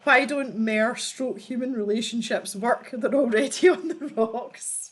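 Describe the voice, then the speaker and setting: A woman's voice: a little high, unhurried. A woman hosting a casual livestream, reading out viewer jokes and laughing often as she chats. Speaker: livestream host.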